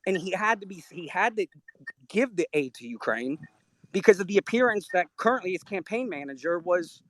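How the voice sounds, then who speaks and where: A man's voice: high-pitched, expressive, brisk, a bit bright, dry recording, poor audio. A man calling in to a live audio chat, asking a long, rambling, earnest question.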